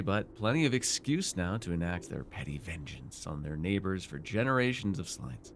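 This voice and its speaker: Man acting a theatrical, low-voiced fantasy villain. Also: slight background noise. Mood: bitter, wry. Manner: theatrical, teasing, deliberate, with murmured asides and sudden force. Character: male fantasy villain sorcerer ruler, smug, seductive, menacing. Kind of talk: ASMR fantasy roleplay acting.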